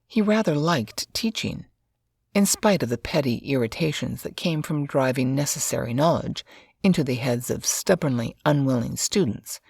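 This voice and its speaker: Husky, creaky woman narrating a story. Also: low voice, a little mellow, dry recording, clean studio sound.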